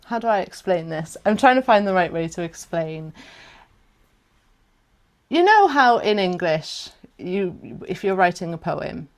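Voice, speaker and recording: Slow-spoken South Welsh woman. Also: fairly low pitch. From South Wales. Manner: chatty, warm asides, laughs often. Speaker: chant workshop teacher. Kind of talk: casual live online workshop talk. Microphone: video call.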